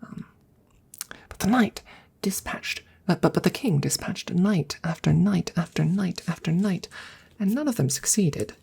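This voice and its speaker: A woman voicing an ancient, courtly and slightly awkward female dragon in a soft ASMR roleplay, breathy and often whispered, with hesitant, formal phrasing. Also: lowish voice, animated, unhurried, heavily acted. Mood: amused, reminiscing. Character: courtly, awkward centuries-old female dragon in human guise.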